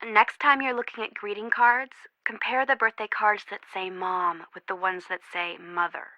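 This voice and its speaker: Bright, closely recorded worried young woman by an actress. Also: mid-range voice, level tone, moderate pace, dry recording, natural voice, rough recording. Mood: candid, dry. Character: worried, guarded young woman, friend of missing girl. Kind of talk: video game voice acting.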